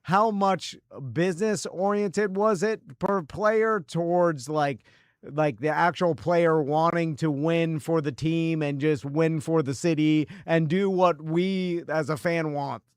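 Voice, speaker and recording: Brief: forty-four-year-old male co-host, rambling and loose, a bit higher-pitched, dry sound. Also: fairly even intonation, slow, clear, resonant tone, dry recording. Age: 40s. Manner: rambling, many fillers, laughs easily. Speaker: podcast co-host. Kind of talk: casual podcast chat.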